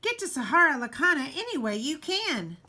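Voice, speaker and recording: Animated, high-voiced woman reading a storybook. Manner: deliberate, dramatic emphasis and pauses. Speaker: teacher reading a picture book aloud. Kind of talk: expressive children's storybook read-aloud.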